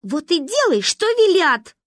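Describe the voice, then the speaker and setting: A woman's voice: extremely high pitch, very animated, unhurried, dry recording, clean studio sound, pitched above the performer's own voice, heavily acted. A woman voicing a stubborn, bossy, dramatic little girl in a story, insistent and theatrical. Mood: bossy, solemn.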